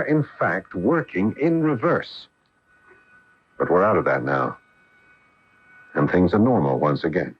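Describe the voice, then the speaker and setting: An actor playing a grave middle-aged astronaut husband in a 1980 radio drama, speaking deliberately and earnestly. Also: male voice, fairly low pitch, husky, heavily acted. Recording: muffled old AM radio broadcast.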